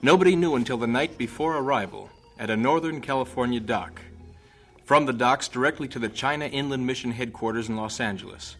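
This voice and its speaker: A storyteller in a radio-style drama about missionary life. He narrates in measured, scripted lines at a middling pitch, with faint music underneath. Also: fairly bright tone. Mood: measured, serious.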